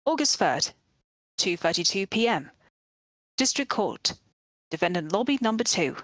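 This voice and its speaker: A woman's voice: medium pitch, big pitch swings, a little slow, a bit bright, no room sound, poor audio. A woman hosting a let's play, reading scripted game narration aloud. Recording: music behind the voice. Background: game music.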